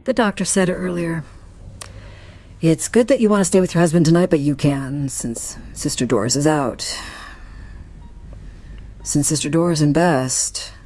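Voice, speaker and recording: A woman playing an anguished wife and mother in a radio drama, brooding in halting, broken phrases. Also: low voice, mellow. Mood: bitter brooding. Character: anguished wife of an unconscious musician, mother of a young boy. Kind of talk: radio drama acting, intimate monologue.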